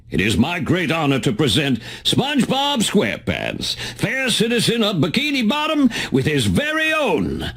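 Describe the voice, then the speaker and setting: An actor playing a pompous, fatherly con man of a sea creature in a cartoon game, with a husky voice and theatrical flourishes. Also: high-pitched, huge pitch swings, unhurried, a bit bright, exaggerated voice. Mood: grand, proud. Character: grandiose, fatherly male driving-school swindler, a sea creature.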